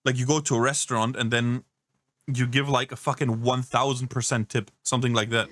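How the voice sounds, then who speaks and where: A man's voice: mid-range voice, little pitch movement, brisk, a bit bright. A man doing casual reaction commentary, incredulous and rambling, swearing often. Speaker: reaction streamer.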